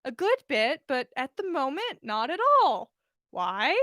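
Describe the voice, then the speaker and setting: A shy, mild young man from a storybook, acted out by a woman, hesitant and wary in his answers. The voice is high and moves up and down a lot, recorded dry and close. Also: sing-song, a little slow, fairly bright tone, dry recording, full character voice. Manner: hesitant, stammering.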